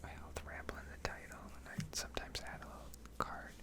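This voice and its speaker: A woman whispering a casual, rambling, slangy ASMR commentary over gameplay, close to the microphone. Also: fast. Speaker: game streamer and ASMR video creator.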